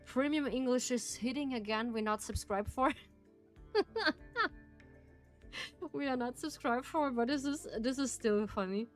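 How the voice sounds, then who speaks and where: A woman's voice: a little high, measured pace, pauses often, soft background music. A woman with a German accent, a native German speaker, streaming and reading a game aloud in careful phrasing with frequent laughs.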